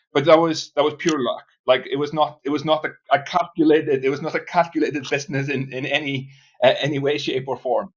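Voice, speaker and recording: A man's voice: mid-range voice, lo-fi sound. A man from Iceland, not a native English speaker, answering interview questions in a hesitant way with many restarts and fillers. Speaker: guest, startup founder. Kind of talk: casual interview talk.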